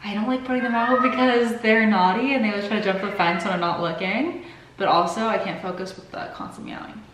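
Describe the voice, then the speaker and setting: A woman's voice: mid-range voice, steady tone, fairly quick, a bit bright, a little hiss or hum. A woman in her twenties, a bit sick and sniffly, chatting casually and rambling to the camera in her home kitchen. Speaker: vlogger. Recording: echoey kitchen.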